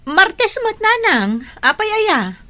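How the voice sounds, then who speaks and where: A woman's voice: very high voice, sing-song, takes it slowly, bright, forceful tone, full character voice. A woman playing a strict, stubborn working mother in a radio drama, curt and insistent. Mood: surprised.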